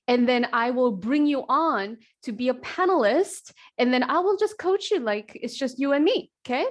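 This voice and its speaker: A woman in her forties with a voice pitched a little high hosts an online coaching call. She talks in a lively, casual way, full of "like" and "uh", into a computer microphone. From South Korea, raised in New Jersey. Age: 40s. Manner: energetic, conversational, frequent fillers and likes. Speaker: executive coach, host.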